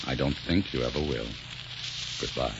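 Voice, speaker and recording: An actor playing a romantic, commanding sheik hero, earnest and melodramatic in the manner of 1940s radio. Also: male voice, rumbling low, normal speed, background noise. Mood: tender, regretful. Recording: dull 1940s radio recording.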